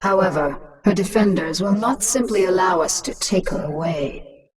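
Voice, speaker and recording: A woman's voice: on the low side, normal speed, distant mic, a loud crowd, natural voice. A woman playing a ruthless alien swarm queen in a video game, commanding and scornful in manner. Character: ruthless alien swarm queen, transformed human, imperious and taunting.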